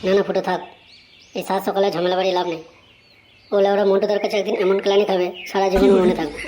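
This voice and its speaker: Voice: mid-range voice, little pitch movement, fast talker, darkish tone, slight background noise, natural voice. A performer voicing a gossipy young male sidekick in a comedy cartoon, agreeable and teasing. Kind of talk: amateur comedy cartoon dialogue.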